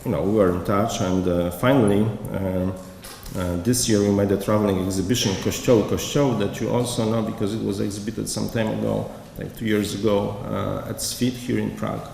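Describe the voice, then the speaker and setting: A man, a curator giving a conference lecture from a prepared paper, speaking haltingly with many pauses. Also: on the low side, steady tone, vocal fry, darkish tone. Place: lecture hall. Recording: echoey hall, faint background noise.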